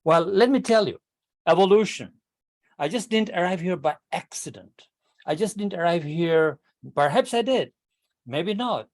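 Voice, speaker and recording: Older Kenyan-born man, slightly high voice, animated storyteller speaking over a video call to a speaking club. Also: a little slow. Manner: animated storyteller, addresses "ladies and gentlemen", rhetorical questions. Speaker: club member giving a speech. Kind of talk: prepared speech at a public speaking club. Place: online club meeting.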